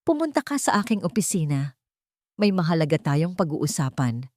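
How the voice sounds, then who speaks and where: A woman's voice: deep voice, sing-song, moderate pace, darkish tone, dry recording, clean studio sound. A woman voicing a cold, haughty older rich mother-in-law, commanding and condescending, in a narrated story.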